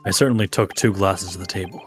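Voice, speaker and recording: A man's voice: sits low, fast talker, close to an everyday voice. A man voicing a stern, formal courtroom authority in a fan dub of a video game. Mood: firm. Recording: loud music behind.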